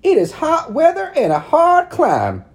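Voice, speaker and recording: A man voicing a stern, pushy middle-aged townswoman who lectures in a high falsetto. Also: wildly swooping pitch, unhurried, full character voice. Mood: stern lecturing.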